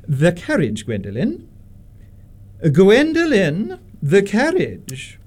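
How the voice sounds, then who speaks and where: Give the voice of an imperious middle-aged Victorian lady acted by a man, pitched high for a male voice and swinging wildly up and down. The delivery is pompous and deliberate, full of haughty disapproval, in a radio stage-comedy style. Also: huge pitch swings, a little mellow, husky, heavily acted. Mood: stern, indignant. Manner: pompous, deliberate, swooping emphasis.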